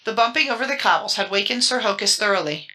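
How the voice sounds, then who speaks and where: A woman's voice: medium pitch, lively intonation, fast talker, bright, forceful tone. A woman reading a children's storybook as a dramatic audiobook narrator.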